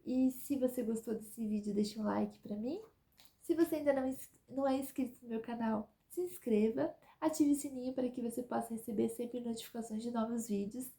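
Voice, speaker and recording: A woman's voice: on the high side, a little fast, vocal fry, dark tone. A woman giving chatty advice to her viewers in a casual vlog, often checking in with "tá?" and "né?". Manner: chatty, repeats "tá?" and "né?". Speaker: vlogger living abroad.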